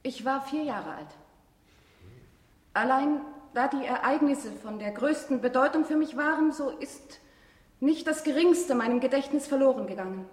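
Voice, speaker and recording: An actress playing a young noblewoman, a grave and resolute witness, speaking in measured formal phrases in a radio drama. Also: high-pitched, close to an everyday voice. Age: young adult. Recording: echoey hall with long reverb.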